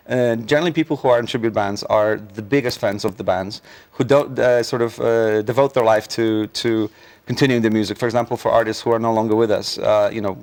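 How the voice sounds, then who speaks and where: A man from Poland, grown up in America, talking as a festival organizer in a casual interview, hesitant with many fillers and restarts. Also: lowish voice.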